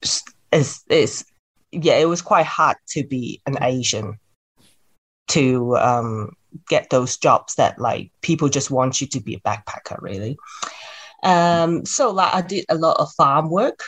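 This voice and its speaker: A woman's voice: a bass voice, unhurried, slightly rough. A woman in her thirties from Hong Kong with a Hong Kong accent, a chatty podcast guest who talks with many fillers and restarts. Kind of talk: podcast interview.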